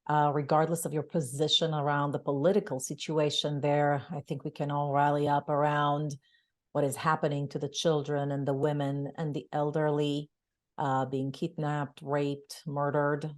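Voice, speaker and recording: Low-voiced woman from Israel speaking earnestly. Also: level tone, moderate pace. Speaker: guest expert. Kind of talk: webinar talk.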